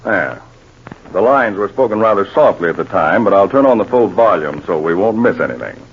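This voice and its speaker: Deep, husky government agent. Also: male voice, lively intonation. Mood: coolly in command. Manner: deliberate, commanding, grave. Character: firm, methodical male counterespionage agent exposing spies. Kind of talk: 1940s radio drama acting. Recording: dull 1940s radio recording.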